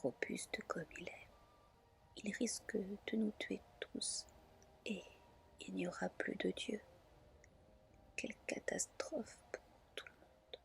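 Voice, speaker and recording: A woman's voice: mid-range voice, steady tone, slow, vocal fry, long pauses. Woman whispering as a shrewd, scheming goddess. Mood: worried, calculating. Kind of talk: whispered ASMR storybook reading.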